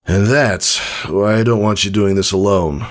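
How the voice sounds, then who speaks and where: A man voicing an injured pegasus father who is stoic and gently reassuring in a storybook reading. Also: on the low side, a little slow, voice pushed up, close to an everyday voice. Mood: strained, reassuring. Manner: gently reassuring, downplaying pain. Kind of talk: fan fiction audiobook reading.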